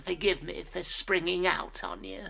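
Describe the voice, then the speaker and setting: A woman's voice: lowish voice, very animated, moderate pace, vocal fry, bright, forceful tone, full character voice. A woman voicing a sly, theatrical talking cat in a demon lord's service, with florid, old-fashioned phrasing, in an audio drama. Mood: smug, teasing. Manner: florid, archaic, teasing sing-song.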